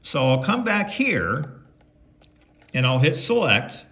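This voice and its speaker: A man's voice: on the high side, sing-song, takes it slowly. Man giving a folksy tutorial, noisy recording. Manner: folksy, talks himself through each step. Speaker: presenter of how-to video. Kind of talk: casual step-by-step tutorial. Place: indoors at home, beside a tabletop radio. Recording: clearly noisy home recording with clicks.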